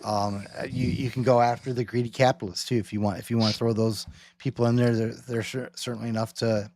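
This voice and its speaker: A man hosting a casual theological discussion, thinking aloud in halting, rambling phrases and often checking in with rhetorical questions. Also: medium pitch, level tone, darkish tone. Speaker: host.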